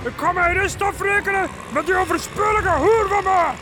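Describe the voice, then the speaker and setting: An actor playing a pompous, vengeful husband who rants and curses in a melodramatic radio horror comedy. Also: male voice, very high voice, normal speed, very noisy. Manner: ranting, melodramatic, coarse dialect. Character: vengeful, pompous cuckolded husband, raging and cursing.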